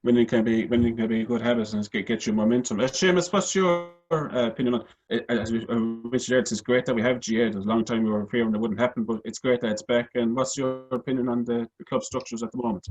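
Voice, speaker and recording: A man's voice: mid-range voice, fast, few pauses, darkish tone, rough recording. A man hosting a sports panel, putting meandering, hesitant questions to guests. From Ireland. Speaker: sports show host.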